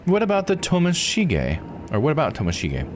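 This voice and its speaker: A man plays an inquisitive visual novel investigator, lifting the voice well above a normal talking pitch. The manner is casual and plain-spoken, with music playing behind. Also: wildly swooping pitch, brisk. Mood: curious. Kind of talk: visual novel character read-aloud.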